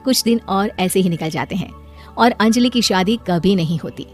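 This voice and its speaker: A woman's voice: medium pitch, normal speed, faint music behind. A woman giving scripted narration of a moral story.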